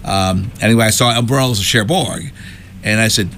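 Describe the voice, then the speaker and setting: A man's voice: on the low side, fairly quick, a bit bright, slight background noise. A man in his sixties from the United States, a musician being interviewed, chatting casually in a wry, rambling way. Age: 60s. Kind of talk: casual chat, reminiscing.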